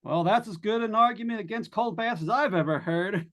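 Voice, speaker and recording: A man's voice: high voice, a little fast, a bit bright. An actor playing a breezy, joking male radio host on a ghost hunt, chatty and folksy in old-time radio drama style. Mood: joking, nervy. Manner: chatty, folksy showman delivery. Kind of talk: 1940s live radio drama acting.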